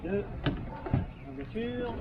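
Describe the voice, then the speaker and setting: A man's voice: very high voice, very slow, creaky, phone-quality sound. A man in his thirties with a Québécois French accent, casually showing off a new car and explaining its features, hesitating often with fillers and restarts. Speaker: travel vlogger. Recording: noisy outdoor ambience with vehicle sounds.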